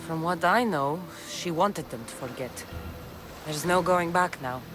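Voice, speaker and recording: A woman's voice: fairly low pitch, measured pace, lightly acted. A woman playing a hardened exiled Spartan mercenary in a video game, with a blunt, firm delivery. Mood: guarded, bitter. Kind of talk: video game dramatic dialogue. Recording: music behind the voice.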